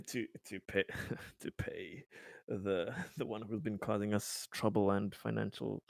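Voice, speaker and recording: A man playing a tabletop roleplaying game, improvising casually with hesitant, deliberate phrasing. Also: lowish voice, creaky, darkish tone, no room sound, clean studio sound.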